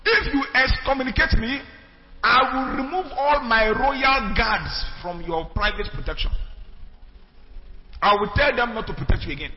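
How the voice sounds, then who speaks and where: Man as a calm, defiant king, higher voice, echoey hall. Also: normal speed. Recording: echoey hall, dull sound, faint music.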